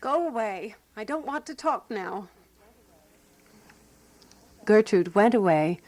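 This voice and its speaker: A woman playing a fussy, resolute amateur sleuth who narrates her own mystery in a radio drama, prim and measured. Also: mid-range voice, expressive, darkish tone, no echo. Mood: upset.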